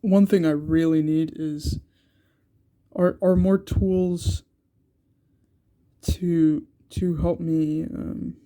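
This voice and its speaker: Congested young man, slow and hesitant. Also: a little high, steady tone, mellow. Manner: hesitant, many ums and repeated words. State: congested from a cold. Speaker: art channel creator. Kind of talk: casual art vlog narration while sketching.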